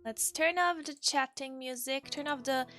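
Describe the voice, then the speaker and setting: A woman streams a visual novel in a bright, fairly high voice. She speaks slowly and hesitantly, breaking off and restarting as she chats or reads aloud. Faint music plays behind her. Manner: hesitant, self-interrupting, soft exclamations. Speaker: VTuber streamer. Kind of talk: casual game livestream chat with read-aloud visual novel text. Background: soft piano music.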